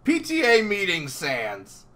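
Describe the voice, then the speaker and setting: Male interviewer, bright and very animated, casual and excitable fan talk. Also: high-pitched, takes it slowly. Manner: excitable, exclamatory, swearing emphatically.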